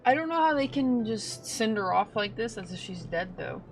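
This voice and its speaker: A woman streamer casually reacting to a game in a slangy, jokey, expressive way, with game music behind her. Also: fairly high pitch, normal speed.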